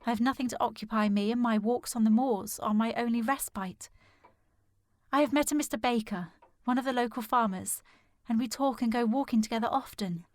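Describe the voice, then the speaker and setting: A woman playing a distressed, determined young gentlewoman in a period audio drama, speaking formally and earnestly. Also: on the high side, brisk, no room sound, studio quality, lightly acted. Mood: sad, hushed reading. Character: distressed, determined young gentlewoman searching for her missing sister.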